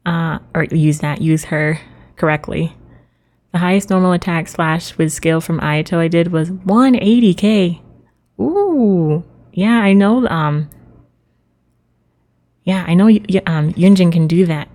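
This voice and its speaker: A woman's voice: on the low side, a little slow, mellow. A woman hosting a game livestream, chatting casually with her viewers as she plays. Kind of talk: casual chat with live viewers while playing.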